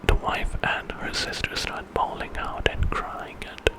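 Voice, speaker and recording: A man telling a bedtime story in ASMR style, in a breathy whisper and an informal, conversational way. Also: unhurried. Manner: informal retelling with quoted dialogue.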